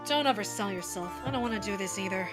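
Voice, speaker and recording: A hoarse woman voicing a sulky, snide male rabbit prince from a cartoon game, speaking proudly and sarcastically. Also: medium pitch, fast, loud music behind the voice, voice pushed down, natural voice. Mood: sour.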